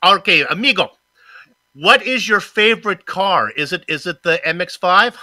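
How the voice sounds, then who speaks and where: A man hosting a sim racing broadcast, upbeat and energetic in his announcer delivery. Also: high-pitched, moderate pace, dry recording, studio quality. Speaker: broadcast commentator and host. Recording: music bed playing under voice. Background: loud music.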